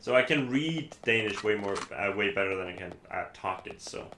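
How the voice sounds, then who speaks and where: A man from Iceland with an Icelandic accent, a native Icelandic speaker, chatting casually as a game streamer in a halting, rambling, self-correcting way. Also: lowish voice, lively intonation, sharp tone. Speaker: live streamer playing games. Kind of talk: casual chat while gaming. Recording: echoey room, faint background noise.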